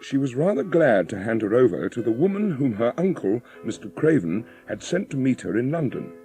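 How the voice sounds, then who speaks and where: Narrator for dramatised audiobook: man, lowish expressive voice, storytelling delivery over faint music. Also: a little fast, poor audio.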